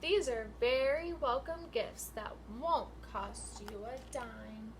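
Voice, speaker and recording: A woman's voice: on the high side, slow pace, a little hiss or hum. A woman teacher reading a picture book aloud to young children in an instructional way, with questions and prompts.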